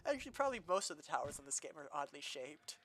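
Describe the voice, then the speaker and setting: Young male streamer, nasal and bright. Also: fairly high pitch, sing-song, brisk, a little hiss or hum. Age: young adult. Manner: rambling, self-deprecating, lots of fillers and restarts. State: slightly nasal from allergies. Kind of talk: casual gaming stream chatter.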